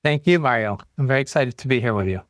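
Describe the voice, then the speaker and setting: A man's voice: medium pitch, lively intonation, fast talker, dry recording, clean studio sound. A man from Europe, a guest on a podcast, telling his career story fluently and with enthusiasm. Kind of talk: conversational interview answers.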